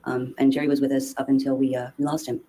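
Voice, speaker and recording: A woman answers questions on the witness stand, stumbling and restarting her sentences, full of fillers. Her voice is mellow and dark, yet she talks quickly. Faint noise sits under the courtroom feed. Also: very deep voice, level tone. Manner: halting, many restarts and fillers. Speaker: trial witness. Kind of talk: courtroom testimony.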